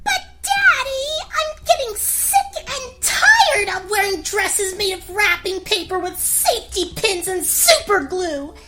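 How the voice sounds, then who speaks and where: A woman's voice: extremely high pitch, animated, takes it slowly, few pauses, background noise, exaggerated voice. A woman playing a spirited, whiny young princess in a comic audio fairy tale, delivered with petulant melodrama. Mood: whiny, exasperated.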